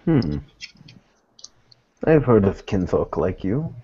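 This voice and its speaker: A man speaks in a low, dark voice with long deliberate pacing, choosing formal words as he role-plays a character. The audio is slightly dull and lo-fi. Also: very animated, breathy edge. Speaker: role-playing gamer voicing a private investigator. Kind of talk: slow in-character improvised dialogue.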